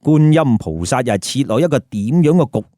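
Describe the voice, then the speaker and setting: A man's voice: mid-range voice, lively intonation, a little fast, dark tone, no echo, clean studio sound. A man telling a story with comic commentary, colloquial and putting rhetorical questions to listeners. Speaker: storyteller, host.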